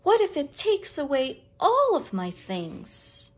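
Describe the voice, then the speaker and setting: A woman reading a picture book aloud to children, with expressive, deliberate, dramatic phrasing. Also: high-pitched.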